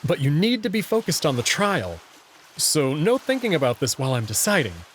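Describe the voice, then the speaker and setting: A man's voice: a little high, very animated, fairly quick, a little hiss or hum. A man voicing a wary but considerate human hero in a visual novel, in a guarded conversational way. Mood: concerned. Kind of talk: visual novel character reading.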